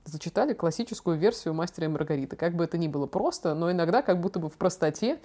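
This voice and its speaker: A young woman talks quickly on a casual podcast. She is chatty and slangy, spinning out stories in an animated, informal way. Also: lowish voice. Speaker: podcast co-host, daughter talking with her father.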